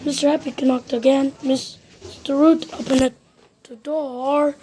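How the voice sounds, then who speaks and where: A woman reading a children's storybook aloud as narrator, in an expressive, deliberate way. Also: sits high.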